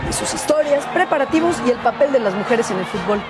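Fast-talking woman promo announcer. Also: on the high side, animated, crowd noise. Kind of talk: scripted radio promo. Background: music bed.

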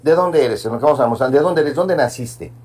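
A man's voice: mid-range voice, expressive, fairly quick, darkish tone. A man hosting a casual chat at a hotel restaurant table, jovial and encouraging, often repeating his words. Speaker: host. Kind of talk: casual talk-show chat.

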